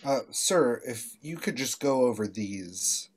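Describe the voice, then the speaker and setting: An actor playing a slighted, fussy young legal aide in an animated film table read, speaking haltingly. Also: male voice, medium pitch. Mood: deferential. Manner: halting, throat-clearing.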